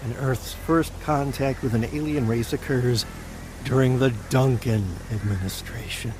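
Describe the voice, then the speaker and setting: A man acts out an exasperated government aide with an average-pitched male voice. He is sarcastic and dry in the manner of an audio comedy, with noticeable noise in the recording. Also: fairly even intonation, a little slow, natural voice. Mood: sardonic. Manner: sarcastic, dry, put-upon. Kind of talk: comedic audio drama acting.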